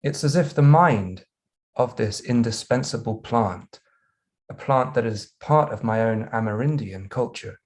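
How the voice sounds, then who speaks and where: A man reading a passage from a book aloud in a measured, steady way. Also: mid-range voice. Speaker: reader.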